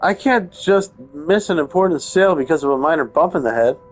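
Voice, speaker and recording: A man's voice: fairly high pitch, animated, brisk. A man doing casual let's-play commentary and reading narration aloud, with wry, sarcastic asides. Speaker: game streamer playing a visual novel. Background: game background music.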